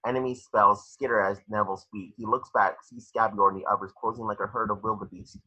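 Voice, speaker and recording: Male narrator, dramatic script reading, lowish pitch, raspy edge, slightly muffled recording. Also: fairly even intonation, fast talker, pushed and bright. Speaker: stage directions narrator. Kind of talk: dramatic screenplay read-through.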